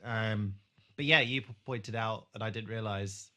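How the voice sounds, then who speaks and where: Male podcast host, low and forceful, casual recapper who hesitates and searches for words. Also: measured pace. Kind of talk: casual recap chat about a TV drama.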